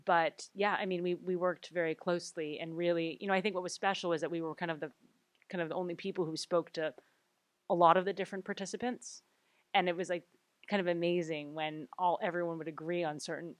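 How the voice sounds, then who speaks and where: Woman, low voice, dry close mic, film director fielding Q&A, rambling with many fillers and restarts. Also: no echo. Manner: halting, full of fillers and restarts. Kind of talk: Q&A panel answers. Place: cinema auditorium.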